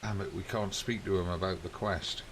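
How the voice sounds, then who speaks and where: A man's voice: low-pitched, fairly even intonation, normal speed, fairly bright tone, a little hiss or hum. A man giving laid-back, casual commentary while playing a game, thinking aloud. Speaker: gaming streamer. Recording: commentary over game music and rain. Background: game music, rain sounds.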